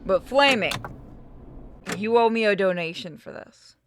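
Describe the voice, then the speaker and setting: A woman's voice: medium pitch, a little slow, background noise. A woman giving casual, blunt, bantering gameplay commentary as a streamer. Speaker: game streamer.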